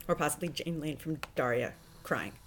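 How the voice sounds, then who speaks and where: Low-voiced American woman chatting, noisy recording. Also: lively intonation, moderate pace. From United States. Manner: self-deprecating. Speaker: podfic maker and fan writer. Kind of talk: casual chat.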